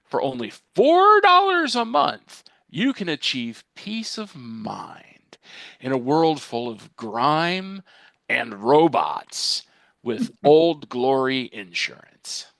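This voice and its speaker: Mock-commercial spokesman, older male played by a man: ominous, deliberate, earnest, very varied intonation, dry sound. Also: big pitch swings, gravelly, fairly bright tone, no echo, full character voice. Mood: grave, ominous. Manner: grave, deliberate sales pitch with dramatic emphasis. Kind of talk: mock television commercial read.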